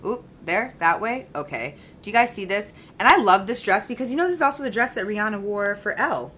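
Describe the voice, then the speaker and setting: A woman's voice: mid-range voice, animated, fast talker, fairly bright tone, slight background noise. A young woman from Trinidad hosting a live fashion chat show, chatty and gushing. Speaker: host.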